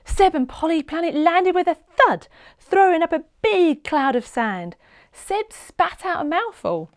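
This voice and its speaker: A woman narrating a children's storybook in an expressive, dramatic read-aloud style with deliberate phrasing. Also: extremely high pitch, no room sound, studio quality.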